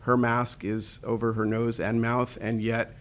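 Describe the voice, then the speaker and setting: A man hosting a podcast interview, talking in a halting way with frequent restarts. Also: medium pitch, completely flat delivery, a bit bright, slight background noise. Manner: halting, many restarts and fillers. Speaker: podcast host. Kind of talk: conversational interview.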